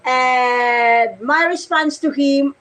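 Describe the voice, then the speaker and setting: Filipino lady doing a casual vlog chat, really slow and halting, giggly type, voice a bit high. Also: fairly bright tone. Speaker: vlogger.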